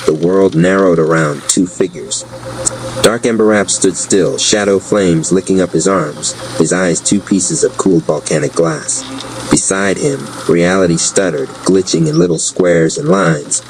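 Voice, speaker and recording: A man narrating a dark fantasy audio drama in a solemn, dramatic spoken-word style. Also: low voice, unhurried. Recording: clearly noisy recording.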